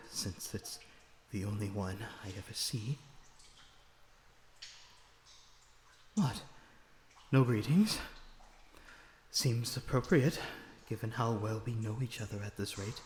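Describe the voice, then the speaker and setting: A man's voice: on the low side, darkish tone, close to an everyday voice. Man acting a weary captive vampire in echoing stone. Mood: sardonic teasing. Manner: sardonic, measured, dramatic phrasing. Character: lonesome captive male vampire, weary, bitter and sardonic. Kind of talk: ASMR audio roleplay acting. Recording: echoey reverberant room with background noise.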